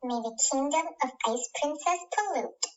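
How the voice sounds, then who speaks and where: A woman voicing a wicked witch casting a curse in a storybook tale, with drawn-out menace. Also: sits high, dull audio, voice pushed up, lightly acted. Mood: menacing.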